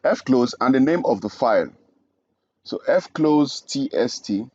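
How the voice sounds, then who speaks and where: A man's voice: medium pitch, animated, a little slow, darkish tone, husky, no room sound, muffled recording. A young man from Nigeria teaching programming in a screen-recorded tutorial, explaining each step and checking in with "okay?". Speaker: programming instructor.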